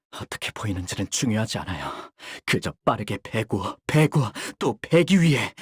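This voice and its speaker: An actor playing a young, bird-like warrior in a game, polite and formal in speech yet bloodthirsty, with theatrical delivery. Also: male voice, fairly low pitch, slightly rough, no room sound, studio quality. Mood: fierce, intense. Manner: polite, formal speech breaking into fierce intensity. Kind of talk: Korean game character voice lines, theatrical.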